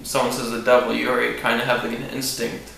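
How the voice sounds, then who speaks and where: A man with a deep voice, a country musician, talking casually about his song in a relaxed, rambling way with many fillers. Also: fast, creaky. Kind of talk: casual interview talk. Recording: echoey room with faint noise.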